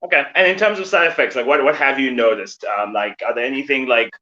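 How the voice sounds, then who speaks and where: Bright-voiced man, stammering host. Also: medium pitch, fast talker. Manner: stammering restarts, loose and blunt. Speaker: podcast host. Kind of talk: casual interview questions. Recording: dull lo-fi sound.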